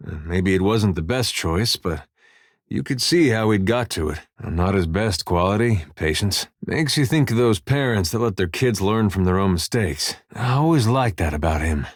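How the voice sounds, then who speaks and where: An actor playing an easygoing, loyal farmer turned soldier in a video game, with laid-back folksy phrasing and dry humour. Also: male voice, lowish voice, expressive, normal speed, no room sound. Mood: thoughtful storytelling. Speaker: video game companion character. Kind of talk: game dialogue and battle barks.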